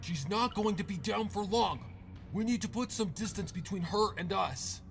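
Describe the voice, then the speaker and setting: A man voicing a gruff stallion ally, pitched well above his own voice and shouting urgently. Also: moderate pace, faint music behind.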